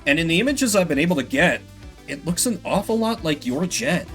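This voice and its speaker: A man voicing a whiskered animal mission handler who briefs a pilot in a measured, warning tone. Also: on the high side, very animated, faint music behind. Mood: grave.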